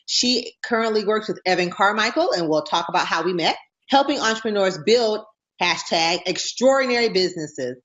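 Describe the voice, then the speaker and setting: An excitable, bubbly woman hosts a casual talk show, often breaking into laughs as she chats. Her voice has a mid-range pitch. The sound is dull and somewhat lo-fi. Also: moderate pace. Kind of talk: casual interview chat.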